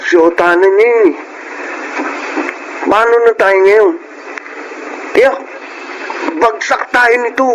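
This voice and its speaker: A man's voice: sits high, slow pace, pauses often, lo-fi sound, noisy background. An actor playing a sulky young male classmate in a Filipino radio drama, with a Filipino accent. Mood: sulky, worried.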